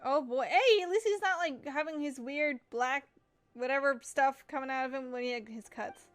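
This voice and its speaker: A woman's voice: sits high, normal speed. A woman giving casual, reactive commentary while playing a game, rambling and thinking aloud as she goes. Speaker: gamer streaming a playthrough.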